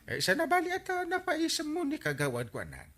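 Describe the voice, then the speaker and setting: An actor playing an excitable, comic village man with a Filipino accent, full of exaggerated exclamations in radio comedy drama. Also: extremely high pitch, a little fast, heavily acted. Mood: sheepish, amused.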